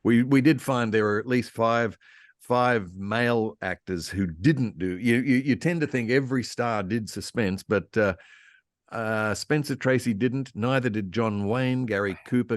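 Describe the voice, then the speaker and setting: Deep-voiced man chatting as a historian. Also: unhurried, dry recording, clean studio sound. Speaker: panel guest, radio historian. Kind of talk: casual informed discussion of old radio.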